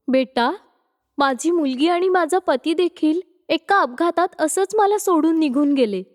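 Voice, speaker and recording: A woman's voice: very high voice, full character voice. A woman voicing a kindly, consoling middle-aged roadside eatery owner in a cartoon moral story. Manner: consoling, motherly. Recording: long hall-like reverb.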